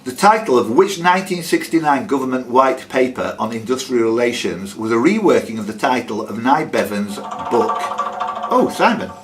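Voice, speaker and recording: A man's voice: medium pitch, expressive, normal speed, soft background music. A man hosting a quiz, reading questions aloud and teasing the players with jokey casual banter.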